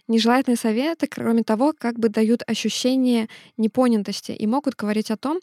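A woman co-host with a fairly high voice, explaining things calmly. Her voice is recorded on a close mic in a dry room with no echo. The delivery is steady and explanatory. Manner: calm, explanatory. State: sick, sore throat. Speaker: podcast co-host. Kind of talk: explaining a topic, acting out examples.

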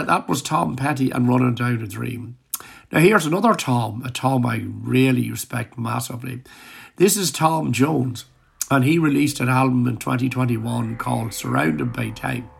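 Croaky radio dj guy, super gravelly and husky, chatty and rambling between songs. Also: mid-range voice, a little slow. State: croaky voice recovering from illness. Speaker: radio show host. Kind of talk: casual radio DJ chat.

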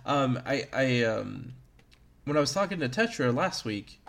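A man's voice: medium pitch, big pitch swings, measured pace, vocal fry, a bit bright. Rambling man in his early thirties. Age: early 30s. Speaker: podcast host. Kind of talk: casual chat about video games.

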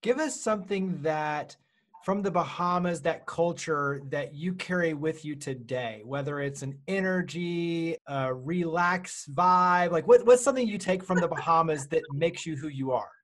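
A man's voice: a little high, measured pace. A man hosting a podcast, speaking in an upbeat interview style. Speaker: podcast host.

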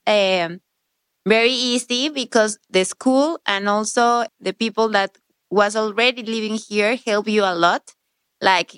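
A woman's voice: mid-range voice, fairly bright tone, smooth, no echo. A young woman from Mexico City, a podcast guest speaking in a friendly, enthusiastic way. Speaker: guest, MBA student. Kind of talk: casual podcast chat.

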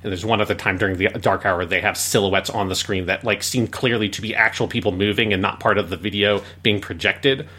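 A man's voice: lowish voice, steady tone, fairly quick, few pauses, pushed and bright. A man hosting a casual podcast discussion, thinking aloud in a conversational way. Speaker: podcast host.

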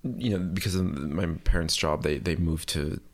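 A man's voice: low voice, normal speed. A young man, an artist born in Puerto Rico, answering interview questions casually, rambling with lots of likes and you knows. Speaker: artist guest.

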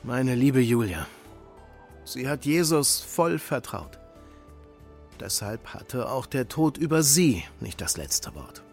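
An actor playing a gentle, middle-aged widowed father who patiently explains faith to children in a children's audio drama. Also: mid-range voice, big pitch swings, takes it slowly, vocal fry, soft background music, full character voice. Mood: tender, wistful. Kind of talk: German children's radio play acting.

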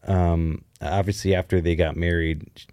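A man's voice: low-pitched, flat delivery, moderate pace, mellow, clean tone, no room sound, studio quality. Rambling, jokey male podcast co-host. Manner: rambling, self-interrupting, jokey. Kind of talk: casual chat.

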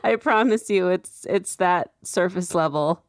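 Blunt, low-voiced woman co-host. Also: a little slow, smooth, dry recording, clean studio sound. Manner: blunt, candid, laughs often. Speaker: podcast co-host. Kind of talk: casual podcast chat.

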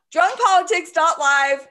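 High-voiced tired woman co-hosting. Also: bright, forceful tone. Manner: bantering, swearing, rambling outro. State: tired, up past bedtime. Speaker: podcast co-host. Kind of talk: casual drunk chat.

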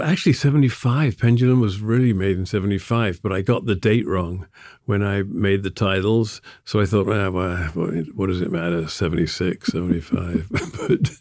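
An older man from England, answering interview questions in a thoughtful, halting way with soft laughs between thoughts. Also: lowish voice, no echo, clean studio sound. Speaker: artist being interviewed. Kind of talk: reflective conversational interview.

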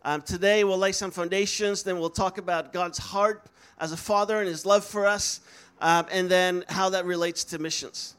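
Man, higher voice, casual encouraging preacher, calls out to audience. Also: fairly even intonation, moderate pace, a bit bright, clear, resonant tone. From Netherlands. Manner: upbeat, encouraging, calls out to the crowd. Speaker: speaker at a missions school. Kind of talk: casual sermon talk to a live audience.

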